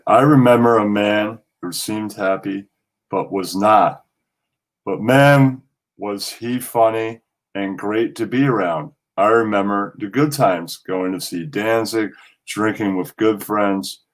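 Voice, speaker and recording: A man speaking as a show guest in a plain, unpolished manner. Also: fairly low pitch. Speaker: show guest reading his own poem. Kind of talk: plain poem recital and casual remarks.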